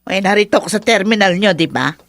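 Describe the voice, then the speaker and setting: A woman's voice: mid-range voice, fast, close to an everyday voice. An actress playing a bitter, spiteful older mother-in-law in a Philippine radio drama, with a Filipino accent and a melodramatic, exclamatory delivery. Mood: spiteful, scornful.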